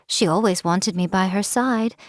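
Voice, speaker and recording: A woman's voice: medium pitch, moderate pace, no echo, lightly acted. Woman doing a teasing fox spirit lady for a game, smug and playful, dead dry booth. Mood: sly. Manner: teasing, coy, amused. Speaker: game character. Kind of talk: game voice lines. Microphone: studio mic, dry.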